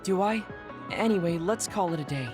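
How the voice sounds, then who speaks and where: An actor playing a calm, self-effacing young man in a video game, polite and composed. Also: high voice, moderate pace, over music. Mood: calm, wistful.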